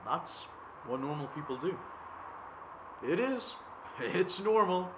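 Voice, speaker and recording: A young man playing a tormented, obsessive character fighting his own thoughts in a halting, repetitive theatre monologue. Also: medium pitch, natural voice. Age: young adult. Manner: halting, repetitive, swinging from muttered to forceful. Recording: noisy amateur location recording.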